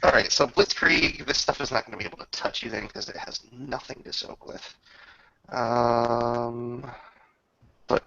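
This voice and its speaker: Gravelly, bright-edged man running a tabletop game. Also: mid-range voice, measured pace, highs cut off. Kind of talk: improvised narration and game refereeing in casual talk.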